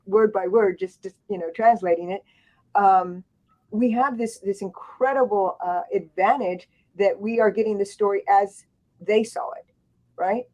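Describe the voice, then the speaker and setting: A woman's voice: medium pitch, unhurried, dark tone. Woman author rambling thru a story on a panel, lots of restarts, kinda muffled lo-fi audio. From grew up in Thailand and Japan. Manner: rambling, many restarts and asides. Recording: dull lo-fi sound.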